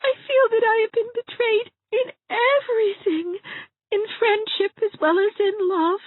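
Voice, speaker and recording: A woman's voice: very high voice, fairly even intonation, a little slow, no room sound. A woman voicing a heartbroken, self-pitying young woman who speaks in a tearful, theatrical way, recorded with a tinny, dry sound. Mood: wounded. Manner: tearful, theatrical, sobbing delivery. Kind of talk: dramatized audiobook reading.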